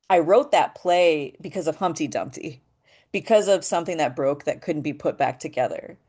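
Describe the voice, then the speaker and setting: A woman with a naturally deep voice, leading a voice workshop in a thoughtful, searching way, with many pauses and restarts. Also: darkish tone. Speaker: voice workshop leader. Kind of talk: reflective workshop talk.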